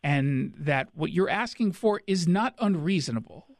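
A man's voice: on the high side, expressive, normal speed, no echo. A man hosting a talk radio show, delivering an indignant, sarcastic monologue.

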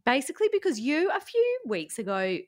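Lively Australian woman telling stories. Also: high-pitched, fairly quick. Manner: lively storyteller, reads passages with relish. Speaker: podcast co-host. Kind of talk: casual chat about baking.

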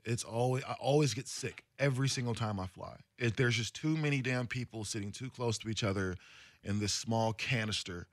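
A man's voice: medium pitch, fairly quick, dry recording, clean studio sound. A man co-hosting a sports radio show, bantering in a loose, joking and emphatic way. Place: radio studio.

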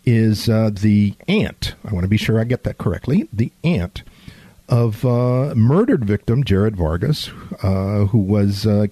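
Texan man, radio talk host, halting speech, repeats words, husky voice. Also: lowish voice, mellow, no echo. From San Antonio, Texas.

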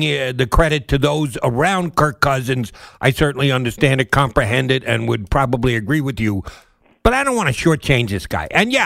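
A man's voice: mid-range voice, vocal fry, fairly bright tone, no echo. A man hosting a sports radio interview, talking in a colloquial, opinionated way.

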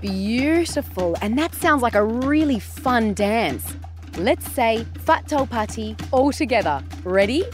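A woman's voice: a little high, expressive, a little slow, faint music behind. A woman hosting a children's podcast in a cheerful, sing-song presenting style.

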